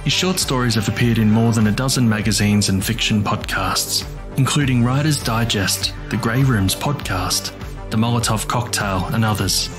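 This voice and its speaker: A man's voice: fairly low pitch, moderate pace, over music. A man reading a scripted podcast outro and credits as the announcer.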